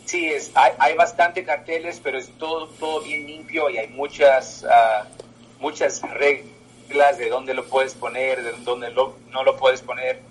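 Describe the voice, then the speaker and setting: A man in his twenties whose first language is Portuguese, answering interview questions in halting speech with many pauses. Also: mid-range voice, fairly even intonation, slight background noise, low-quality recording. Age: 20s. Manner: halting, with many filler sounds. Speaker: political candidate. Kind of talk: interview by phone.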